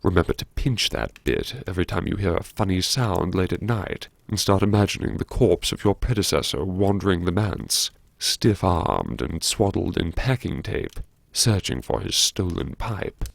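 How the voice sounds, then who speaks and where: An actor playing a wry, brooding academic who muses to himself in old-fashioned, theatrical, self-mocking phrases, as in an audiobook drama. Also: male voice, deep voice, animated, moderate pace, vocal fry, no room sound, exaggerated voice. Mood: darkly amused. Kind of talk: first-person audiobook drama monologue, talking to himself.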